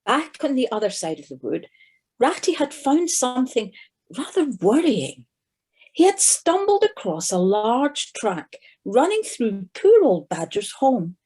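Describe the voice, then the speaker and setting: Female storybook narrator: mellow, slightly husky, taking the script slowly. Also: mid-range voice.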